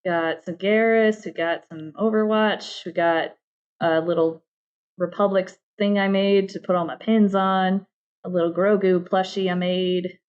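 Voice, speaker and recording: A woman's voice: on the low side, steady tone, measured pace, darkish tone, smooth, muffled recording. A woman talking casually as an interview guest about her creative work, often slipping into quoted asides. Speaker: interview guest, game narrative director. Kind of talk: casual chat.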